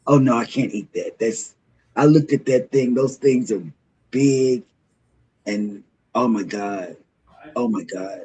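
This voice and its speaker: Man, hoarse sore throat, unhurried pace, rambling casual host, exclamatory, easily sidetracked. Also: medium pitch, darkish tone, slight background noise, low-quality recording. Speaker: morning show host.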